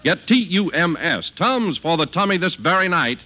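A man announcing on 1950s radio in a polished, scripted sales-pitch style. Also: big pitch swings, normal speed, bright, forceful tone.

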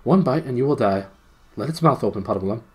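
A man's voice: mid-range voice, animated, fast, a little mellow, husky, voice pushed up. A man voicing a gallant, stern prince in a storybook, with formal, archaic, commanding speech. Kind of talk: character voice in storybook reading.

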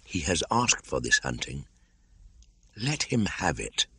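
A man's voice: on the low side, wildly swooping pitch, measured pace, no echo, clean studio sound, pitched above the performer's own voice, heavily acted. A man voicing an earnest young jungle boy, speaking in a raised, eager voice. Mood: calm.

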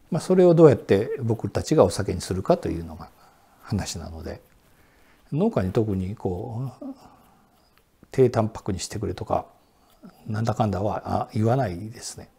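A man in his sixties speaks in a low, dark and somewhat husky voice. He explains at an easy pace and pauses on fillers as he answers interview questions. He is recorded seated indoors in a quiet room. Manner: relaxed and explanatory, many fillers. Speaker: sake brewery director. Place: indoor Japanese room with sliding doors.

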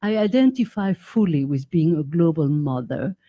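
An older woman from Belgium speaks as a talk show guest in a home office. Her voice is mellow and her delivery earnest and measured, picked up by a desk microphone. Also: low-pitched, lively intonation, slightly rough. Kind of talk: interview. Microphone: desk mic on boom arm.

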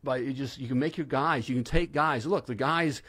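A man's voice: mid-range voice, moderate pace, fairly bright tone, husky, dry recording. Emphatic man hosting a studio show. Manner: emphatic, repeats "okay?" to drive points home. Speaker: podcast host, plumbing business coach. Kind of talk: casual chat. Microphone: desk mic on arm.

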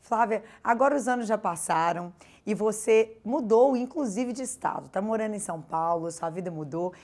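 A woman's voice: mid-range voice, lively intonation, normal speed, a little mellow. A young woman hosting a TV interview program, asking upbeat leading questions into a handheld mic. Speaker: host. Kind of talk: TV interview and presenting.

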